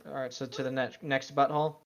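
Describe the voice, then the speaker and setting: A man's voice: mid-range voice, level tone, fast talker, dull audio. A man co-hosting a casual fan fiction read-aloud, slipping between mock dramatic reading and sarcastic, slangy asides.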